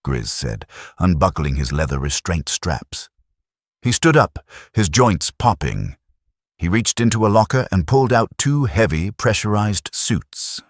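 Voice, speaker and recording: A man's voice: low voice, big pitch swings, measured pace, dry recording, studio quality. A man narrating a science fiction audiobook with dramatic storytelling delivery. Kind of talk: scripted sci-fi narration.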